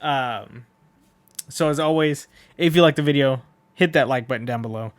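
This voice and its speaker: A man's voice: medium pitch, normal speed, no echo. A man hosting a read-along, chatting casually and enthusiastically to viewers in a rambling, informal way. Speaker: video host and read-along performer.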